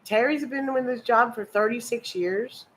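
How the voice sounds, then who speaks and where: A woman in her fifties co-hosts a relaxed live show. Her voice sits a little high, and she chats as she reads viewer comments aloud. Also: normal speed, poor audio. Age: 50s. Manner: chatty, reading out viewer comments. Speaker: co-host. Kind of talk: casual livestream chat.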